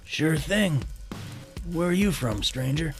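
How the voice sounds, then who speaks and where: Menacing homeless drifter, loud music. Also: male voice, on the high side, animated, slow pace, gravelly, exaggerated voice. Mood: wry, bitter. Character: bitter, hungry homeless man turned cannibal. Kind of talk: audio drama acting.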